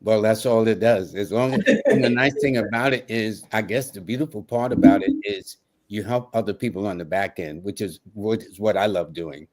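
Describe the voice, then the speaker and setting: A man hosting a casual interview show on a video call, chatty and quick to laugh along with his guest. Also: on the low side, crowd noise. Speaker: host.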